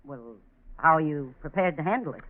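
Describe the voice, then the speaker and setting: An actress playing a tough, stubborn middle-aged farm aunt, blunt and businesslike, in 1940s radio drama style. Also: female voice, low voice, lively intonation, brisk. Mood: brisk, firm. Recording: muffled old 1940s radio recording.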